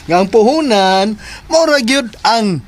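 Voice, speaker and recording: A man's voice: high-pitched, lively intonation, unhurried, a little hiss or hum. A man in a Bisaya radio drama playing a boastful gang leader of swindlers, lecturing in emphatic clipped phrases. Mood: smug, instructing.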